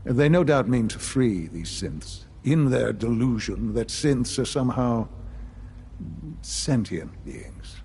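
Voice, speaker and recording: An actor playing a calm, calculating older institute leader in a video game, speaking formally and courteously. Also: male voice, medium pitch, slow pace, breathy edge, full character voice. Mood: measured.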